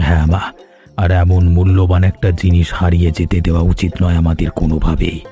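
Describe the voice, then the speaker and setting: A man's voice: sits low, flat delivery, quick pace, soft background music. A man voicing a kindly old village elder. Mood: nostalgic. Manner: gentle, fatherly, calls others "ma". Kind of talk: animated cartoon dialogue.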